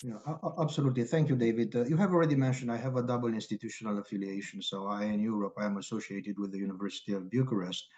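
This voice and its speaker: A middle-aged man from Romania with a Romanian accent, speaking haltingly with many filler pauses as a guest scholar in an interview. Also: lowish voice, steady tone, darkish tone. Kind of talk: academic interview.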